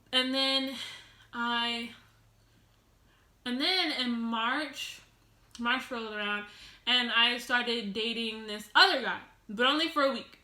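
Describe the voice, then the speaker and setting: A teenage girl chats loudly into her camera from the floor at home, giggling and doubling back as she rambles. Her voice is bright and a little high. The room around her echoes noticeably. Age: teens. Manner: loud, rambling, giggly, tangents and restarts. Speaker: vlogger. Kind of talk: casual storytime vlog.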